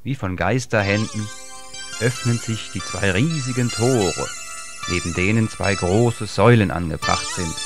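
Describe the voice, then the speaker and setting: A man's voice: on the low side, measured pace, music in the background. A man narrating a scripted adventure audio drama for children.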